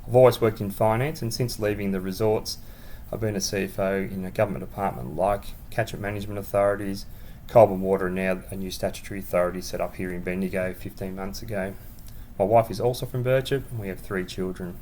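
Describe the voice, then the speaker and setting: Low-voiced Australian man, faint background noise. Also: fairly even intonation, fast, a little mellow. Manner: plain, starts answers with "well". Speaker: new board member. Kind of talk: self-introduction answering questions.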